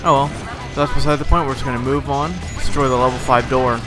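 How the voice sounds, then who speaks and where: A slightly hoarse man giving casual, rambling commentary over a video game playthrough, with frequent filler words. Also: mid-range voice, little pitch movement, quick pace. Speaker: let's play host. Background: game music.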